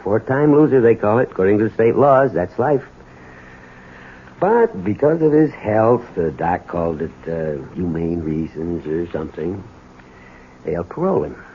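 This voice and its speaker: Bitter, gruff working man, tinny old radio. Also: on the low side, lively intonation, moderate pace. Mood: subdued, bitter. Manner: gruff, colloquial, curt. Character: bitter, weary working-class gas station owner, hot-tempered. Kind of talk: 1970s radio drama acting. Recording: tinny, noisy old radio broadcast recording.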